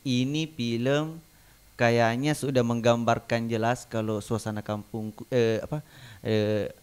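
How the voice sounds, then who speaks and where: A man with a Bugis-Makassar Indonesian accent, recounting things casually as an interview guest in a halting way, repeating phrases. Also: mid-range voice, level tone, a little mellow, smooth. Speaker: podcast guest.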